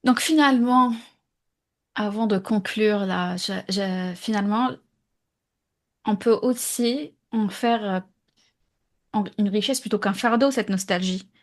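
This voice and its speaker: A woman's voice: medium pitch, a little slow, pauses often. Woman co-host wrapping up a chat podcast. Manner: friendly, wraps up the episode, laughs easily. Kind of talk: casual conversational podcast.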